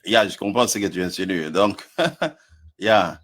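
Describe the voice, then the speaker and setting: A man's voice: low-pitched, very animated, slow pace, fairly bright tone. A man from Congo with a Congolese French accent, debating in a live online stream, hesitant and stammering with frequent chuckles.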